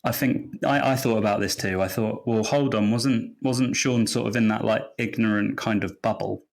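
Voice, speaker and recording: A man's voice: lowish voice, fairly even intonation, normal speed, vocal fry. Reflective man in casual podcast conversation. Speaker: podcast guest. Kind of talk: casual fan discussion.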